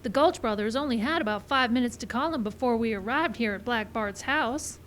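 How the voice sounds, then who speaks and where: Actress for sharp Wild West woman detective, high voice, confident old-time radio delivery, faint live-stage noise. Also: a little fast, natural voice. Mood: confident, explaining. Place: live show in a park. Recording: live outdoor stage, some background noise.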